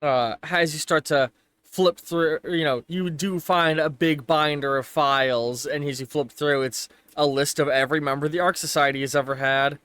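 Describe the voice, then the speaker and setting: Smooth higher-voiced male game master. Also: steady tone, fairly bright tone. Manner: halting, casual, pausing to find words. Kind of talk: improvised tabletop game narration.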